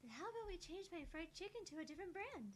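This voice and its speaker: A cheerful teenage girl character, childlike and airy, put on by an amateur reader. The pitch is very high and the delivery quick. Clear noise and rumble run behind the visual novel read-aloud. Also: sing-song. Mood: helpful, eager. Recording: noisy home recording with rumble.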